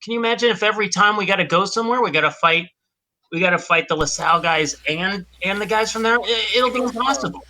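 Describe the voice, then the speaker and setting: A stubborn crew negotiator played by a man in a sci-fi tabletop game, earnest and argumentative. The voice sits fairly high and has a very bright, tense, forceful edge. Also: quick pace, full character voice, poor audio. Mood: pleading, insistent. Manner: insistent, argumentative. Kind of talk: improvised tabletop role-play.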